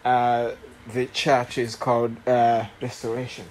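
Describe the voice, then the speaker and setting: A man in his late thirties with a Rwandan accent gives a guest sermon. He speaks in a mid-range voice, halting often with fillers and restarts as he shares his testimony. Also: mid-range voice, completely flat delivery. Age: late 30s. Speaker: guest preacher.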